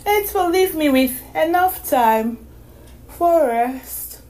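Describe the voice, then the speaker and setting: A woman's voice: sits high, expressive, darkish tone. A woman voicing a sleepy young girl who stammers and yawns through her words. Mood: drowsy. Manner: stammering, breathy, drawn-out yawning speech.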